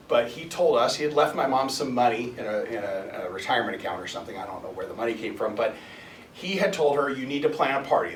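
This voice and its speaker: A man's voice: medium pitch, slight background noise. Man telling a story in an echoey room. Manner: halting, many restarts and fillers, earnest. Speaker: guest speaker. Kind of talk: personal storytelling talk. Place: hall at a spiritual center. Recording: echoey room, mic at a distance, faint ambience.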